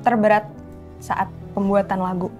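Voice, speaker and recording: A woman's voice: medium pitch, little pitch movement, measured pace, darkish tone, soft background music. A young woman hosts a casual interview in an informal, responsive and agreeable way. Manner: reactive, informal, agreeing often. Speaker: interviewer host.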